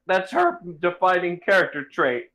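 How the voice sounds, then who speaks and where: A man's voice: high-pitched, huge pitch swings, moderate pace, pushed and bright, low-quality recording. A man co-commentating casually with dry, sarcastic asides.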